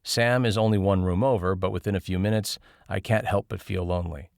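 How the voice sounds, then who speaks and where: A man's voice: sits low, fairly even intonation, a little fast, creaky, dry recording, studio quality. A man reading a first-person travel memoir as scripted audiobook narration.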